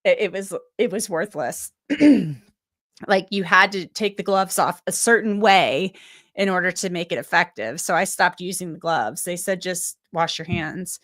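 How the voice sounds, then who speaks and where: Lady host getting over a cold, lowish voice, rambly chat, dry close mic. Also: normal speed, fairly bright tone, no echo. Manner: chatty, loose and thinking aloud, with many restarts and asides. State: recovering from a cold, voice a bit strained. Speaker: legal commentator and livestream host. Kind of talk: casual livestream chat reading viewer comments.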